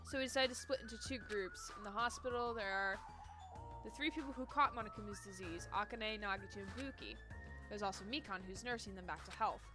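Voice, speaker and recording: A woman's voice: mid-range voice, a little fast, music in the background, natural voice. A woman reading the lines of a level-headed young male student in a plain, thoughtful way, as game narration. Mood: calm.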